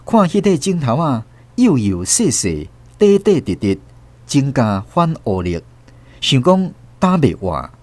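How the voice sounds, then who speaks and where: A man's voice: medium pitch, sing-song, unhurried, no echo. A man with a Taiwanese accent reading dramatic scripted narration for a radio drama.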